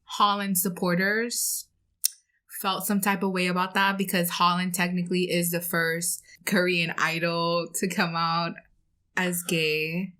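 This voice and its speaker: A woman's voice: lowish voice, level tone, measured pace, sharp tone. A woman co-hosting a podcast in a casual, opinionated way, with sassy, slangy talk. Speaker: podcast co-host.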